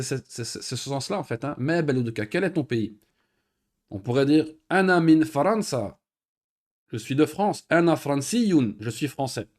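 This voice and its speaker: A man teaching an Arabic lesson in French. He reads each Arabic phrase and then explains it patiently, with pauses and fillers. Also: a little high, darkish tone. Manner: patient, repeats words slowly with pauses and fillers. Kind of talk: recorded online lesson.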